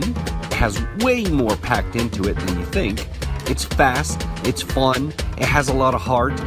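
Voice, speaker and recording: A male host reading a scripted, enthusiastic introduction to his show. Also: medium pitch. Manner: enthusiastic, promotional, animated delivery. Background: guitar music.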